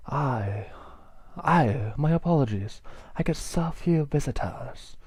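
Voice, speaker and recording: A man voicing an old blind basilisk in a story reading, courteous and humble, with a slow, careful, dry delivery and a put-on Central American accent. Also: mid-range voice, lively intonation, darkish tone, husky, dry recording, voice pushed up. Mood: apologetic, courteous. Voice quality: thin, dry, wheezy.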